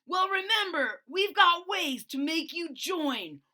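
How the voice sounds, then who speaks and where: A woman's voice: very high-pitched, animated, slow, bright, forceful tone, pitched above the performer's own voice, heavily acted. A woman voicing a boastful, bossy young male dinosaur bully in a storybook, with taunting, emphatic delivery. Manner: taunting, emphatic, stretched-out words.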